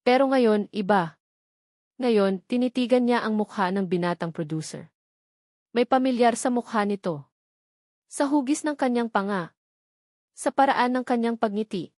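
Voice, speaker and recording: A woman's voice: on the high side, normal speed, pauses often, a little mellow, no room sound, studio quality. A woman narrating a scripted love story in a solemn, suspenseful, dramatic manner.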